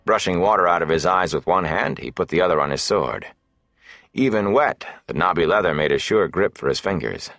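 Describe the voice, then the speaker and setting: A man with a very low voice narrates a fantasy novel. His reading is dramatic and scripted, captured close up with a very dry sound. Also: expressive, fairly quick, a bit bright, no echo. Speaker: audiobook narrator.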